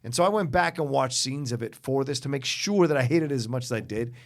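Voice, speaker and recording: A man's voice: mid-range voice, animated, fast talker, creaky. A man co-hosting a casual chat, speaking emphatically and swearing freely. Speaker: co-host of a movie list show.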